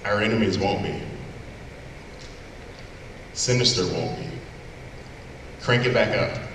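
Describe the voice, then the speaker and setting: A man's voice: on the low side, slow, a bit bright. An actor playing a stern, driven male superhero team leader at a live table read of a cartoon. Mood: demanding. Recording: echoey hall from far off, noisy.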